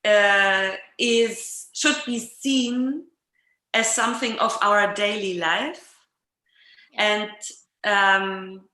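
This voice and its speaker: A woman interviewer explaining reflectively in conversation, hesitant and often pausing mid-sentence, recorded with roomy echo. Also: medium pitch, fairly even intonation, sharp tone. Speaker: interviewer in an art dialogue. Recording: roomy, echoing sound.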